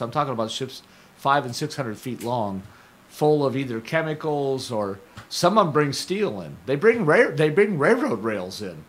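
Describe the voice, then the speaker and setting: An older man hosting a community radio show from a studio, talking casually in a rambling, digressive way while his voice is going hoarse. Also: mid-range voice. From Stockton, California. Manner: rambling, digressive, self-correcting. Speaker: radio host. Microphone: studio microphone.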